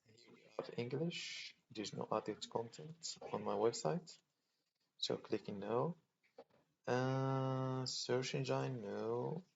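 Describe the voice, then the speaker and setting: A man from Poland hosting a casual screen-recorded tutorial, thinking aloud in a halting way as he clicks through steps. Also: mid-range voice, vocal fry. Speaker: tutorial host. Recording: dull lo-fi mic with faint noise.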